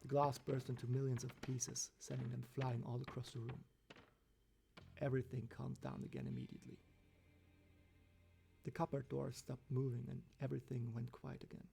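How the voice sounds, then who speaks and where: A man's voice: mid-range voice, vocal fry, mellow. A man reading a scripted first-person horror story as its narrator. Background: background music.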